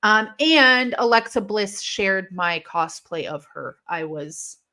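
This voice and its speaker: A woman from Illinois hosting a live wrestling watch-along, chatting casually and rambling, with intense, sharp S sounds left from a lisp. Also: mid-range voice, expressive, takes it slowly. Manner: chatty and rambling, heavy use of "like". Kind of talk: casual live-stream chat reading comments.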